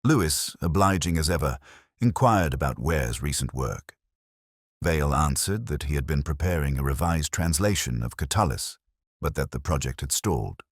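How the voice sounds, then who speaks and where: Male narrator, extremely low voice with lively intonation, measured and formal delivery for literary detective fiction. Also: breathy edge, no echo, studio quality. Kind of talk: scripted first-person mystery narration.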